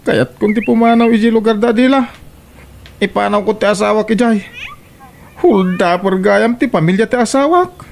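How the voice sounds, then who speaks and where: Woman acting a creaky, aggrieved grown daughter. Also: mid-range voice, moderate pace, darkish tone, slight background noise, lightly acted. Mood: aggrieved, pleading. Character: aggrieved grown daughter venting about spouse and in-laws. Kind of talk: comedic radio drama acting.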